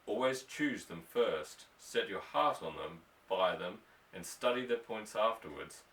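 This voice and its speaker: A man voicing a stern, short-tempered rancher father in an audiobook, curt, sarcastic and gruff. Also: lowish voice, expressive, unhurried, a bit bright. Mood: scornful, sarcastic. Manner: barking, withering, curt. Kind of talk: audiobook character voice. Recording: echoey room, distant microphone.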